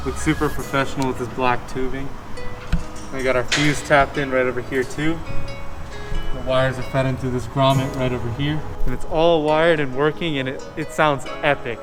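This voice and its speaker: A young man chatting casually and enthusiastically in slangy speech as he hosts a car vlog. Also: medium pitch. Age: young adult. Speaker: car vlogger, host.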